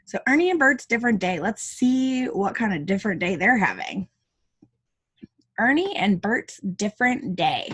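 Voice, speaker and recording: A woman reading a picture book aloud to young children as their teacher, with chatty asides and expressive dialogue. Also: medium pitch, moderate pace, husky.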